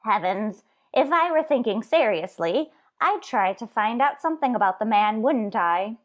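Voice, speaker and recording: An actress voices an elderly landlady in a radio comedy, her voice pitched fairly high. She is prim, coy and lovestruck, protesting in old-time sitcom style. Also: expressive, normal speed, lightly acted. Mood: coy denial.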